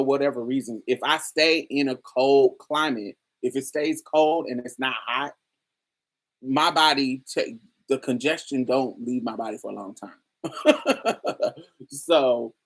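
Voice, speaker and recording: A woman hosting a casual chat, telling stories in a lively way with frequent laughter and free swearing. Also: a bass voice. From New Orleans, USA. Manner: animated storytelling, frequent laughter, swears freely. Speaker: host. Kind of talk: casual livestream chat with viewers.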